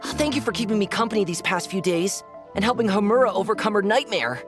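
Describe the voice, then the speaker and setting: A woman's voice: medium pitch, expressive, fast, over music, close to an everyday voice. A woman voicing a young boy who is an imaginary friend, earnest and impulsive. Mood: relieved. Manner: earnest and pleading. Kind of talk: video game voice acting.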